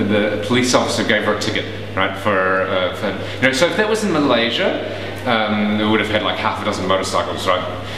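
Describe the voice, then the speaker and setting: Relaxed New Zealand man, echoey room. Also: on the low side. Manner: relaxed, conversational, many fillers. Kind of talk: casual interview answers. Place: small room indoors. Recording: roomy echo, far from mic, hiss.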